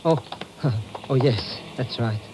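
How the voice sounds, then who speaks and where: An actor in an old radio drama playing a naive, slangy, ungrammatical sidekick as bumbling comic relief. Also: male voice, medium pitch, slow pace, lightly acted. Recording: noisy vintage radio recording.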